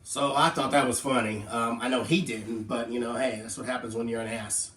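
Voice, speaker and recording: A man, sick and hoarse with flu, talking casually to camera in a rambling way with lots of fillers and wry asides. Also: mid-range voice, steady tone, fairly quick, reverberant, recorded from a distance, very noisy. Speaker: vlogger. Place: indoors at home.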